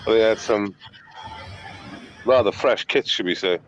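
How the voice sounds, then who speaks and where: A man's voice: fairly low pitch, measured pace, highs cut off, soft background music. A Welsh man hosting a live stream and commenting casually on riot footage, rambling with crude, sarcastic asides. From Wales.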